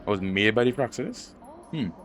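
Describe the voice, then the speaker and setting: A man's voice: medium pitch, lively intonation, fairly quick, a bit bright. A man giving casual gaming commentary, musing aloud in slangy speech as he reacts. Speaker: gaming streamer. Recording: faint game music behind. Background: game music.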